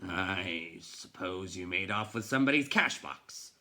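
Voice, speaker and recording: A man voicing a cross, gruff old storybook bookseller who grumbles and scolds with blustery scorn. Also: low voice, animated, measured pace, sharp tone, exaggerated voice. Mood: suspicious, gruff. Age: older. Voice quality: gruff, growling.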